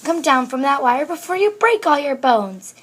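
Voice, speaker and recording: A woman's voice: high voice, animated, a little fast, voice pushed up. A woman voicing an exasperated, scolding mother cat in a storybook, with a wailing, groaning manner. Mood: alarmed. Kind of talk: storybook character voice.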